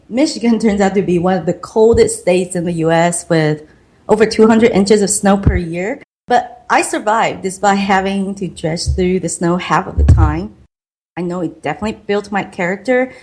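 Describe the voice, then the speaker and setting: Middle-aged woman, Taiwanese accent, second-language English, slightly low voice, earnest storyteller. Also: darkish tone. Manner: earnest, reflective, slow and careful. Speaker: guest, marketing consultant. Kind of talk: podcast interview storytelling.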